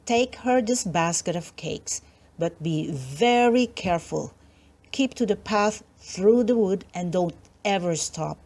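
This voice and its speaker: A woman's voice: on the low side, animated, slow, darkish tone, full character voice. A woman voicing a caring mother who gives her daughter careful instructions in a storybook reading.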